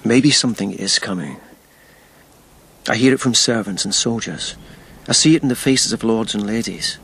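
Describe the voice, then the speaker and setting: An actor playing a blunt, sceptical but protective army lieutenant in a radio drama, plain-spoken and a little husky. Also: male voice, lowish voice, lively intonation, normal speed, fairly bright tone, heavily acted, poor audio.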